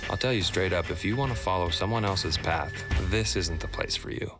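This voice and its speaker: Male game role: tough off-road leader, very low voice, blunt, defiant, loud music bed. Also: a little fast. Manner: blunt, declarative. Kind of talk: video game cutscene monologue.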